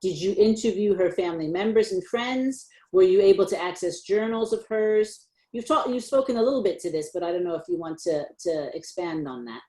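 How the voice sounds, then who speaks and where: A woman's voice: on the low side, brisk, hardly any pauses, warm tone, echoey room, poor audio. A woman moderating an online talk, asking questions hesitantly with many fillers. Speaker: moderator, associate professor.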